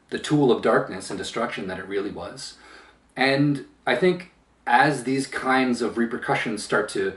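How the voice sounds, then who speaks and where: Emphatic man, echoey room, mic far off. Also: mid-range voice. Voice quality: powerful voice that gets loud when upset. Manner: emphatic, slow deliberate phrasing with pauses. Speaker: channel host. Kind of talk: opinion commentary monologue. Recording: roomy echo, distant from mic.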